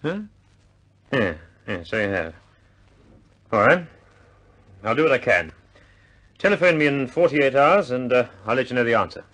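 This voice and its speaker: Blustering British official, swooping delivery. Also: male voice, mid-range voice, expressive, moderate pace, long pauses, fairly bright tone. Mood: grudging. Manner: stammering, blustering, emphatic. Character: blustering, exasperated senior British industrial official. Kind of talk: vintage radio drama acting.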